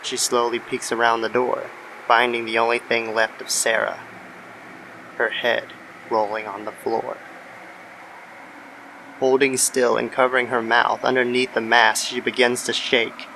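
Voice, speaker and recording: Noisy ambient background, male narrator, mid-pitched voice, scripted horror storytelling. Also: level tone, measured pace, bright, forceful tone. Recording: clear background noise under the voice.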